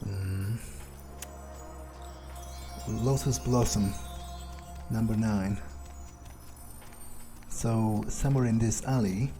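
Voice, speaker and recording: A man doing in-character gameplay commentary, thinking aloud in a halting, understated way as he plays. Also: fairly low pitch, mellow, faint music behind. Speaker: gameplay video creator.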